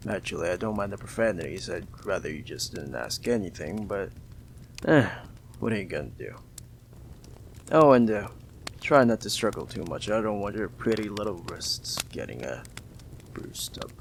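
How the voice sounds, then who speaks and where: A man voicing a smug, possessive mafia boss in an audio roleplay, teasing and condescending, with a gravelly drawl and long pauses. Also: lively intonation, a little hiss or hum. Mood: patronizing. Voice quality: low, gravelly, drawling delivery with drawn-out pauses. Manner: teasing, condescending, calls listener darling.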